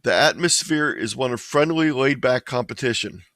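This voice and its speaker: A man delivers an informational slide presentation in a medium-pitched voice. His manner is plain and explanatory, like a webinar lecturer. The sound is dry, with very little room echo. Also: animated, moderate pace, fairly bright tone.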